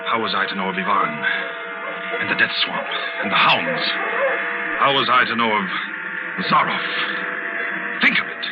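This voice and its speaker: A man's voice: fairly low pitch, normal speed, pauses often, natural voice. An actor playing a desperate, haunted American hunter and shipwreck survivor, telling his story intensely in 1940s radio style. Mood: desperate dread. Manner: intense, dramatic first-person storytelling. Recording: muffled old radio recording, loud music behind.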